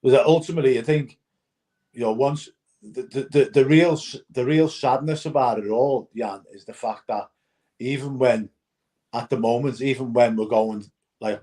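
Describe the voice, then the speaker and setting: A man's voice: mid-range voice, steady tone, normal speed, mellow. A man chatting casually as a fan panelist after a match, using many fillers and often checking that he is understood. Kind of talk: post-match reaction chat.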